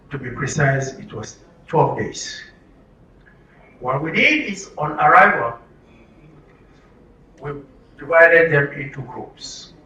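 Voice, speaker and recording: High-pitched Nigerian man leading a workshop. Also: bright, forceful tone. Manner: instructive, using rhetorical questions and repetition. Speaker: workshop facilitator. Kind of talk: live workshop talk to a group. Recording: roomy, distant mic with some noise.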